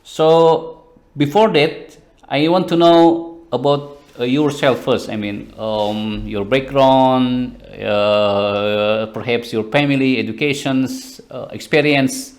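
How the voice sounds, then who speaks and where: A man hosting a podcast interview, asking questions in hesitant, halting phrasing. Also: medium pitch. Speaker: podcast host.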